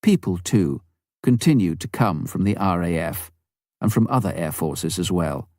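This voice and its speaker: A man narrates a memoir in a fairly low voice with plenty of melodic movement. His delivery is measured and expressive, clearly read from a script. The sound is dry, with very little room around it. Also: no echo. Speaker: audiobook narrator.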